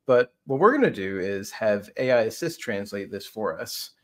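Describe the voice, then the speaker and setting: A man's voice: lowish voice, lively intonation, normal speed. A man in his thirties explaining a product on a video call as a conversational co-presenter. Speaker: software demo co-presenter.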